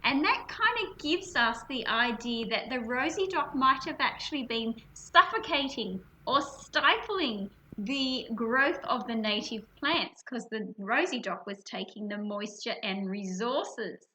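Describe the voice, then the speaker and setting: A woman presenting an explanatory lesson for children, expressive and stressing key words. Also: fairly high pitch, a little slow, hardly any pauses, low-quality recording. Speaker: teacher presenting a reading lesson.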